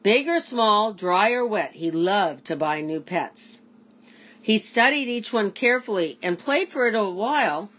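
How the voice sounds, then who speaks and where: A woman, a teacher, reading aloud to children in an expressive storybook style. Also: mid-range voice, a little slow.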